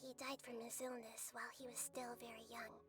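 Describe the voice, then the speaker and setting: A woman's voice: a little high, flat delivery, fairly quick, natural voice. A woman playing a wise young female guide, narrating a tragic story solemnly in a game cutscene. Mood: solemn, gentle. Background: music.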